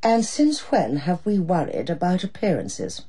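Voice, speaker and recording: An actress playing a sharp-tongued older female Prime Minister in a radio drama, with a clipped, commanding English accent and a condescending manner. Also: lowish voice, huge pitch swings, a little slow, husky, exaggerated voice. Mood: imperious, needling.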